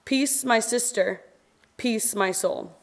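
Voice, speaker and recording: Female reader, fairly high voice, very slow and measured, reciting scripture and verse solemnly with expressive pauses. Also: creaky. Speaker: reader at a church service. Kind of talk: solemn reading of scripture and poetry.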